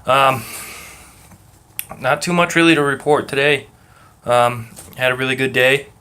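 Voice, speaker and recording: A young man with a muddled Massachusetts accent, talking in a calm, rhythmic way and rambling casually with lots of ums and uhs. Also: mid-range voice, a little slow. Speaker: vlogger. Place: at work.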